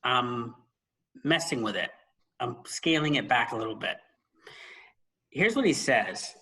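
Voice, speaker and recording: Guy hosting a book podcast, mid pitch, chatty but emphatic, likes a dramatic pause. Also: lively intonation, bright, forceful tone. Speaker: podcast host. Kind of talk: conversational book discussion.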